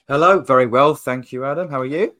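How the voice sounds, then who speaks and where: A man's voice: mid-range voice, fairly quick, sharp tone. A man who is a guest on a football podcast, thinking aloud and hedging his views with fillers. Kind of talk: casual football podcast chat.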